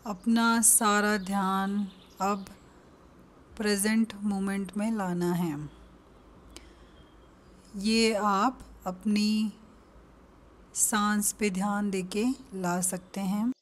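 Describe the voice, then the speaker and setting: A woman with an Indian accent leading a guided meditation, giving calm, gentle instructions with long pauses between them. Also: mid-range voice.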